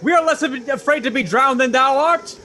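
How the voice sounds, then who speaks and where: A woman playing a defiant, panicking shipwreck victim who shouts theatrical Shakespearean lines. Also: high voice, a little fast, a little hiss or hum. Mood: scornful.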